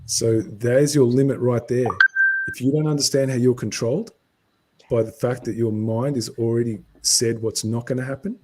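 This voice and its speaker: Aussie man, husky mellow voice, lecturing on a live stream. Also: mid-range voice, low-quality recording. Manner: lecturing, answers viewer comments.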